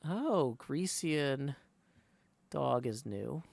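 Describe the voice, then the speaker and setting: Creaky-voiced male game streamer. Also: medium pitch, animated, extremely slow, a little mellow, no room sound. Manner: thinks aloud while reading out on-screen text. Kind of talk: casual game livestream commentary.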